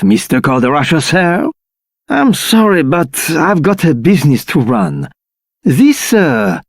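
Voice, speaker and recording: Exasperated tavern keeper, swooping delivery. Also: male voice, a little high, no room sound, clean studio sound. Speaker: game character voice actor. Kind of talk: video game character acting.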